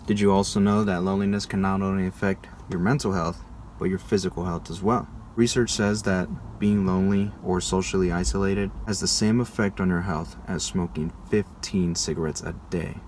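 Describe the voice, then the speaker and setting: A man's voice: sits low, steady tone, a little fast, a little mellow, a little hiss or hum. A young American man presenting to viewers in an informal, conversational way, like a casual vlog narration. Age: young adult. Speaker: video creator presenting a school project.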